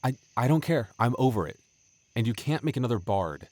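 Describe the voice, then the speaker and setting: A man's voice: mid-range voice, animated, fairly quick, a little mellow, no room sound, voice pushed up, natural voice. A man playing a flustered, bashful young male game master in an intimate roleplay audio drama, stammering, sighing and mock-stern with his childhood friend. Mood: playful, bossy.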